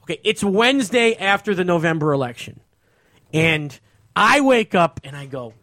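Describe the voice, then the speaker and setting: A man's voice: high-pitched, sing-song, normal speed, pushed and bright, dry recording, clean studio sound. An American man on a casual sports talk show, ranting in an exasperated, emphatic way and repeating himself. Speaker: podcast host.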